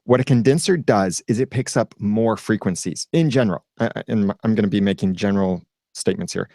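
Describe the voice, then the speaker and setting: A man's voice: lowish voice, a little fast, dark tone, no room sound, clean studio sound. A man speaking as a guest in a casual roundtable chat, explaining at length with a self-deprecating streak. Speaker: podcast guest.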